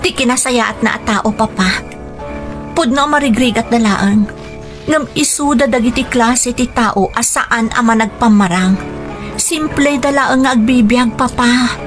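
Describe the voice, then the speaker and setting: A woman's voice: on the high side, measured pace, soft background music, natural voice. A woman playing a pleading young lover. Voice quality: pleading, earnest. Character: young woman in love, pleading with her father. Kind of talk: radio drama acting.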